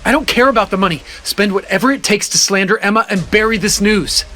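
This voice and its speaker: Ruthless ex-fiancé villain, quick and sharp, demanding, romance audio drama over music. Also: male voice, sits high. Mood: angry, demanding. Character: ruthless, powerful male fiancé villain in PR business. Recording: music bed under the voice.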